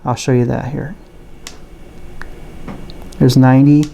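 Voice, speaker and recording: A man talks through a gadget review in a soft, mellow voice with a rounded tone. He explains each feature plainly and casually, taking his time. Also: medium pitch, little pitch movement, slow pace, creaky, a little hiss or hum. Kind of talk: casual product demonstration.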